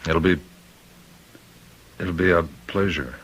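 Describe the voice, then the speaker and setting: An actor playing a middle-aged prison warden in a radio drama, authoritative and deliberate but uneasy. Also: male voice, low voice. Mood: awkward, courteous. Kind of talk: old-time radio drama acting. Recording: noisy old radio recording.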